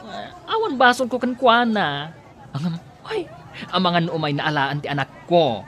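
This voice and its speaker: A woman's voice: lowish voice, very animated, normal speed, a bit bright, some chatter behind, slight background noise. A woman with an Ilocano accent playing a flustered, hesitant, exclamatory woman in an audio drama.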